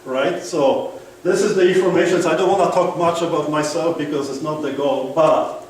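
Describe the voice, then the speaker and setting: A man with a strong Polish accent gives a seminar talk, pitched a bit high. He keeps asking the room whether they agree. He is recorded from far back in a very echoey room with light noise. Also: normal speed. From Poland. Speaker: guest speaker. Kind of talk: seminar presentation. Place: meeting room.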